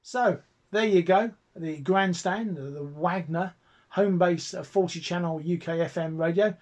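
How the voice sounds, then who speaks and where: A man's voice: high voice, a little slow, background noise. A British man chatting casually and enthusiastically as host of a hobby video. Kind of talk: casual vlog chat.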